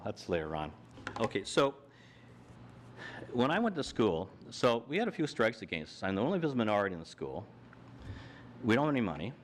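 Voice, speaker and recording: A man's voice: mid-range voice, a little hiss or hum. An older man giving a plainspoken personal talk on a panel, walking through his slides with frequent restarts. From Canada. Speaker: panel speaker. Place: hall with audience.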